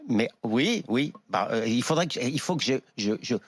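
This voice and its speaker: A man speaking as a talk show guest, measured and sometimes stumbling. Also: medium pitch, animated, no echo. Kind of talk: televised discussion.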